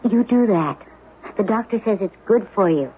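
An actress in an old-time radio drama playing an anxious wife, hesitant and gently soothing. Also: female voice, medium pitch, animated, moderate pace, phone-quality sound, close to an everyday voice. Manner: worried, hesitant, placating. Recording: thin, hissy old radio broadcast.

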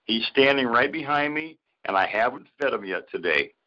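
A man's voice: mid-range voice, expressive, moderate pace, bright, forceful tone. A man co-hosting a podcast in casual chat, crude and swearing heavily. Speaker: podcast co-host. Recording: dull lo-fi audio.

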